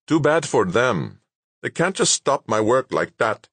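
A man's voice: mid-range voice, sing-song, a little slow, a bit bright, husky, no room sound, pitched above the performer's own voice, heavily acted. A man voicing a stubborn, self-absorbed male scientist who protests insistently in an audiobook. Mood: angry, insistent. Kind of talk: acted audiobook dialogue.

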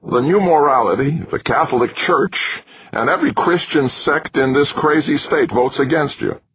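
A man's voice: a little high, moderate pace, breathy edge, voice pushed up. A man voicing a wiry, edgy male campaign manager with a scratchy voice, pressing his point urgently in an audiobook. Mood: insistent. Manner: pushy, urgent persuading. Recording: dull lo-fi recording.

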